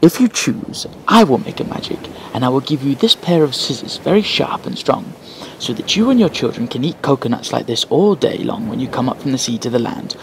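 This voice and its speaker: A man voicing a little girl, gentle and matter-of-fact as she offers gifts. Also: medium pitch, lively intonation, brisk, a little hiss or hum, natural voice, low-quality recording. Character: small girl, calm and generous.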